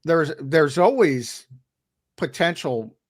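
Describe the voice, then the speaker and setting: A man co-hosting a sports talk show, slightly hoarse because he is losing his voice. He argues his points emphatically and often repeats words as he starts a sentence. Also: a little high, expressive, slow. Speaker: co-host and analyst.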